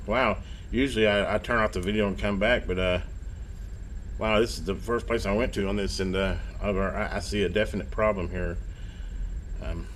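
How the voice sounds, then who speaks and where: Low-voiced middle-aged man, rambling workbench chat. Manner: rambling, self-deprecating, folksy. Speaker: electronics hobbyist and repair video host. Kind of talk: casual workbench chat while repairing. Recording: clear background noise.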